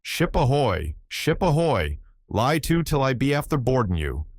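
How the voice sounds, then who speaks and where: A man's voice: deep voice, lively intonation, normal speed, a little mellow, dry recording, studio quality. A man voicing a garrulous old Irish sailor spinning folksy, colloquial yarns in an audiobook story. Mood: excited shouting. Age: older. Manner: rambling, colloquial yarn-spinning.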